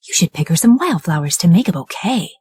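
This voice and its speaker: A woman voicing a sly, scheming male wolf in a storybook reading, speaking lower and smoothly. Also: very animated, a little fast, a little mellow, no room sound, clean studio sound, heavily acted.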